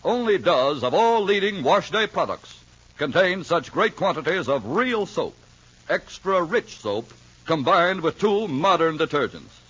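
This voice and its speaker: A male voice selling a product in a vintage radio ad, insistent and persuasive. His voice sits a little high and moves lively, with a husky edge. The sound is muffled and lo-fi. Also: a little slow. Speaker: ad announcer.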